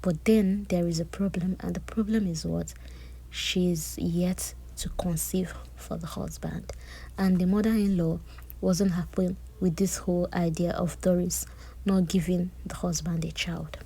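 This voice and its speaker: A woman gives a chatty, animated recap of a reality-show drama, with personal asides. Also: on the low side, a little slow, gravelly, breathy edge, rough recording. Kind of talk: casual recap commentary.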